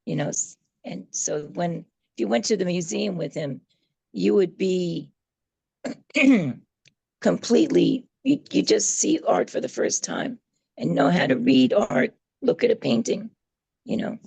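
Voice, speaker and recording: Very gravelly, hoarse woman with laryngitis. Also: lowish voice, lively intonation, a little slow, darkish tone, no echo, low-quality recording. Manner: short agreeing interjections. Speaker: artist, panelist. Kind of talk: casual panel discussion.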